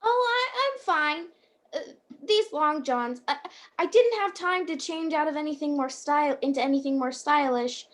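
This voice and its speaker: Woman playing a very high-voiced, earnest apprentice angel. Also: animated, moderate pace. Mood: chatty, flustered. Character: earnest, bumbling apprentice guardian angel, kindly and chatty. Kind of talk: radio play acting. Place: at home on a video call.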